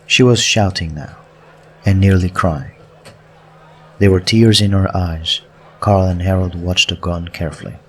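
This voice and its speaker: Deep-voiced male audiobook narrator. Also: steady tone, unhurried, long pauses, breathy edge. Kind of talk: scripted story narration.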